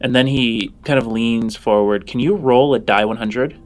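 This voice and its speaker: A man working as game master, improvising descriptive narration for a tabletop game in a halting way. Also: mid-range voice.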